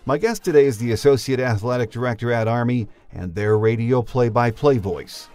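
A man's voice: mid-range voice, a little fast, a little mellow, clean tone. An older man with an American accent hosts a sports podcast. His voice sits in a middle register, with music faintly audible in the recording. He speaks as a presenter addressing fans. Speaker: podcast host. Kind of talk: sports podcast monologue and interview.

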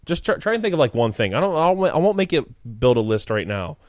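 A man in his late twenties co-hosting a casual chat, joking and animated, with lots of fillers. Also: a little high, fast talker, dry recording, clean studio sound. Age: 20s. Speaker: podcast co-host.

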